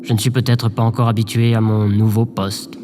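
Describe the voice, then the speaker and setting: A man's voice: fairly low pitch, flat delivery, brisk, close to an everyday voice. A man playing a meek, dejected, obedient aide in an audio drama, formal, hesitant and deferential. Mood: glum, hesitant. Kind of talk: scripted audio drama acting. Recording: long hall reverb, clear background noise. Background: ambient noise.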